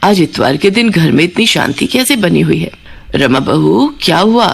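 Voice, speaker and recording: A woman's voice: fairly low pitch, lively intonation, moderate pace, creaky, husky, pitched below the performer's own voice, full character voice. A woman voicing a loving, exasperated older mother-in-law who keeps the peace in a cartoon family story. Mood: puzzled, worried. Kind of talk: Hindi cartoon moral story acting.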